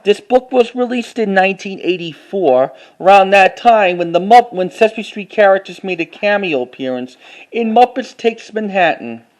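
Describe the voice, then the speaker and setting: A man with a high-pitched voice talks casually as he shows off a collection. He reads titles out and speaks in a halting way, often restarting or repeating a phrase. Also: expressive, poor audio. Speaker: collector showing finds to camera. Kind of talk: casual unscripted show-and-tell narration.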